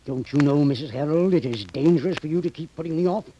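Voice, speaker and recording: Sinister professor male actor, deliberate and menacing, slightly high but dark voice, dull and faintly hissy 1930s horror radio. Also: close to an everyday voice. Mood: insistent, threatening. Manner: deliberate, insinuating, formally polite threats. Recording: muffled, faintly hissy old radio recording.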